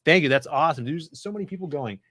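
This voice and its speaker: A man's voice: a little high, lively intonation, fast talker, bright, forceful tone, no echo, studio quality. Laid-back man reading stream chats. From Chicago area. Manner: laid-back, chatty, reads super chats aloud. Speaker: co-host of a comics livestream. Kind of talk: casual livestream chat.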